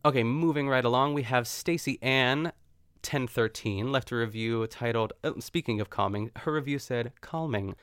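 A man hosting his own podcast, talking chattily and effusively with a smooth, soothing voice. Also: medium pitch, normal speed, fairly bright tone, no echo, clean studio sound. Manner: chatty and effusive, lots of fillers. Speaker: podcast host. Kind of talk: casual solo podcast chat.

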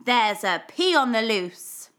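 A woman's voice: fairly high pitch, big pitch swings, slow, a bit bright, full character voice. A woman voicing a heroic potato superhero in a children's storybook, bold, confident and declamatory. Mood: bold, triumphant. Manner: declamatory, triumphant.

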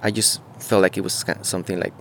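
A man from Mexico, talking casually outdoors in an on-site interview, halting and searching for words with many fillers. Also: deep voice, flat delivery, slight background noise. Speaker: muralist interviewee. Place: outdoors beside a mural.

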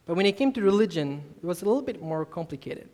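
A man's voice: high-pitched, animated, fast talker, darkish tone. A man in his twenties from Malaysia, a youth minister preaching a personal testimony sermon in an earnest, self-deprecating way. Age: 20s.

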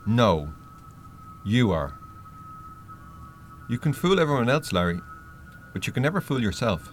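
An actor playing a stern, solemn spirit who guides the dead in a radio play, grave and deliberate. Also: male voice, medium pitch, sing-song, slight background noise. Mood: stern, calm.

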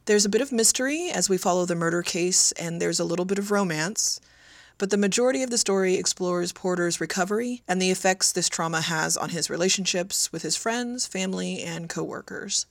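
A woman's voice: on the low side, brisk, no room sound. A middle-aged woman, an audio drama creator, giving an informal prepared account of her own work. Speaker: audio drama writer and director.

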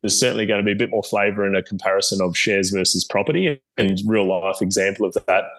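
Wordy Australian adviser on a call. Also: male voice, fairly low pitch, quick pace, fairly bright tone, poor audio. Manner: formal, wordy, earnest. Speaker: property adviser in a client review. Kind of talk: client consultation.

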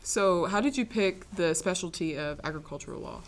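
Low-voiced chatty podcast host woman. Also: brisk. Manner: loud voice, chatty with many fillers. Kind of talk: podcast interview.

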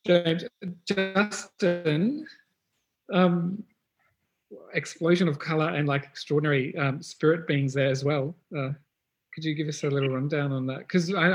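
A man moderating a panel puts open questions to the guests. His voice sits a little higher than usual, and he phrases things softly with small hesitations. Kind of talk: casual panel talk.

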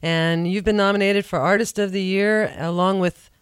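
A woman's voice: medium pitch, fairly even intonation, moderate pace, clear, resonant tone, no room sound, studio quality. A woman hosting a radio interview, asking friendly, curious questions. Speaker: radio host.

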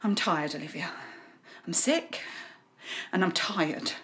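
A fairly high, very animated voice. A woman plays a weary mother in an audio drama, exasperated and dismissive. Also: brisk.

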